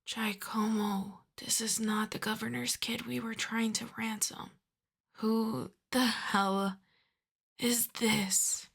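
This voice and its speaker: A woman's voice: medium pitch, steady tone, slow. A woman playing an exasperated but courteous mafia boss in a roleplay audio drama, wry, sardonic and conversational. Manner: wry, sweary, conversational, addresses listener as darling.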